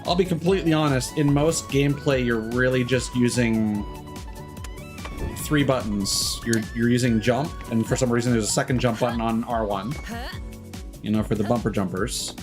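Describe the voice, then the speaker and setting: A man live-streaming a game with casual commentary, rambling and thinking aloud as he goes. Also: lowish voice.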